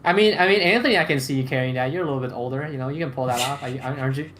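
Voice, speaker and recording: A man with a mid-pitched voice talks as a panelist in a casual roundtable podcast. He rambles in an emphatic, slangy way, with clear ambient noise behind him. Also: racing, fairly bright tone. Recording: clearly noisy background ambience.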